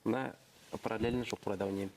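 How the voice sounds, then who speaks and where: A man's voice: fairly low pitch, steady tone, fast, darkish tone, slight background noise. A young man from Bashkortostan, a student and band member, briefly introducing himself. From Bashkortostan, Khaibulla district. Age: young adult.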